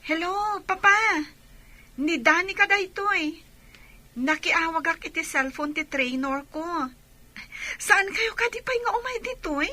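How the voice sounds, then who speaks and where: A woman's voice: extremely high pitch, measured pace, long pauses, sharp tone, full character voice. A woman playing a cheerful, affectionate young adopted daughter in a Philippine radio drama. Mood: cheerful, excited.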